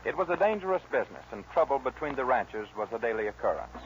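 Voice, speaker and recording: A man's voice: medium pitch, very animated, fast talker. A man with an American accent reading scripted narration for a 1940s radio western. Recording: dull, hissy old radio recording.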